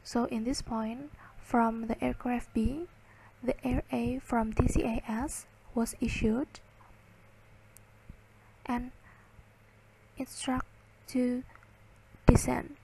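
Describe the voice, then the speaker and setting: A woman giving a slide lecture, reading and explaining in halting, word-by-word phrases. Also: on the high side, fairly even intonation, gravelly, dark tone, slightly rough, rough recording.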